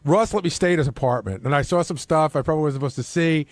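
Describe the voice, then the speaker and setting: Guy chatting on a talk panel, talks fast, bit higher voice, tells stories. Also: dry recording. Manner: conversational storytelling, acting out quoted exchanges. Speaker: radio show staff member. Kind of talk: casual panel discussion.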